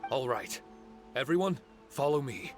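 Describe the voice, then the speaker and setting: An earnest spirit familiar from a video game, musing in a mid-pitched voice. He is forgetful and rambles, with music clearly audible behind him. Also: very animated, measured pace. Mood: earnest, puzzled. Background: clear background music.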